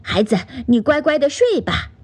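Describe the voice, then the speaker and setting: A woman voicing a calm, clever mother rabbit who speaks firmly and reassuringly. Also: sits high, normal speed, voice pushed up. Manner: firm, reassuring.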